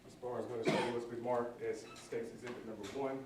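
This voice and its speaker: Male prosecutor, quick formal questioning, distant miking in a reverberant, noisy courtroom. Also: mid-range voice, level tone. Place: large indoor courtroom.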